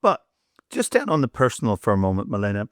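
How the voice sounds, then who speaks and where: A man's voice: on the low side, wildly swooping pitch, quick pace, no echo, clean studio sound. A man hosting an interview podcast, asking questions with eager repeated starts. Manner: repeats words as he starts, enthusiastic. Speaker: podcast host.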